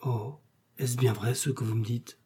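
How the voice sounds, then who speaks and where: A man acting out a lovestruck, reckless duke from a period romance. His voice is very dark and slightly husky, and he declaims with fervent, pleading passion and a melancholy streak. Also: medium pitch, steady tone, a little slow.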